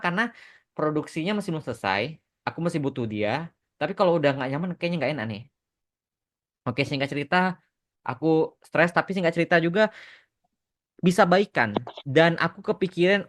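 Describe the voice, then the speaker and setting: A man's voice: on the high side, a bit bright. A young man telling stories casually on a podcast, informal and mixing in English phrases. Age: young adult. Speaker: animation creator answering fan questions on a podcast.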